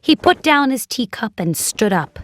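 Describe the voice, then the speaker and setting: A woman narrating a scripted children's story in a measured, expressive storyteller's manner. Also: fairly high pitch, faint music behind.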